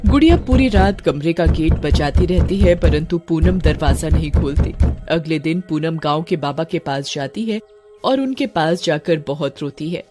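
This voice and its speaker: A woman narrating a cartoon horror story in an even, storybook manner. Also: low-pitched, moderate pace, music in the background.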